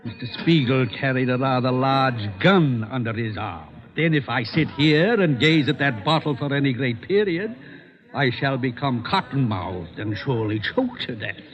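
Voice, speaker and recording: An actor playing a grandiloquent, boozy barfly informant, speaking in pompous mock-archaic phrases with drawn-out words in an old radio drama. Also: male voice, mid-range voice, expressive, natural voice. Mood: mock-grave. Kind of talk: 1940s radio acting. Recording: dull old radio recording.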